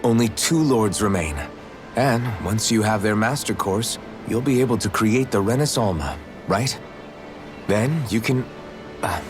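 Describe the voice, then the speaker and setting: A man acting a kind, idealistic young hero in a video game cutscene, speaking gently, sincerely and thoughtfully. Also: lowish voice, unhurried, music in the background, close to an everyday voice. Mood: encouraging.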